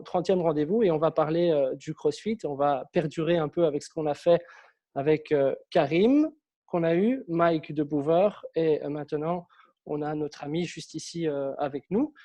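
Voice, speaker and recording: A man hosting a casual interview, opening slowly and relaxed, welcoming his guest. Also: on the high side. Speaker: host.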